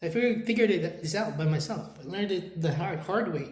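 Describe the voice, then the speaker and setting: A man with a Filipino accent talks straight to camera in a slightly high voice. He halts, restarts and repeats words, filling gaps with "uh" and "you know". The room around him has a noticeable echo. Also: expressive, darkish tone. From Philippines. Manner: halting, repeats words, many fillers. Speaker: YouTuber. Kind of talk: unscripted talk to camera.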